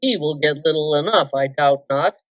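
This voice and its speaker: A woman voicing a proud, bitter male dwarf king in a storybook reading, grim, forceful and commanding. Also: sits low, moderate pace, close to an everyday voice. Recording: dull lo-fi roomy recording.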